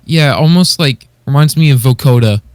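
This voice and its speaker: A man's voice: medium pitch, normal speed, darkish tone, no room sound. A man co-hosting a podcast, chatting casually as a hobbyist with a somewhat tentative manner. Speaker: podcast co-host. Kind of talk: casual chat, hobbyist commentary.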